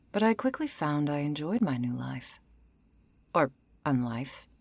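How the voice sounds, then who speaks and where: A woman acting a century-old female vampire in an ASMR roleplay, composed, politely menacing and wry, her soft breathy voice often falling into a whisper. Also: extremely low pitch, dry recording, exaggerated voice. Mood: wistful, calm. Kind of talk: ASMR roleplay, intimate scripted monologue.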